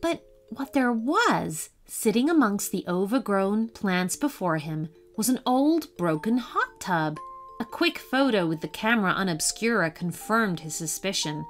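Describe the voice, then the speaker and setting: A woman narrating a children's bedtime story in an expressive storytelling manner. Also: medium pitch, unhurried, faint music behind. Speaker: storyteller and channel host.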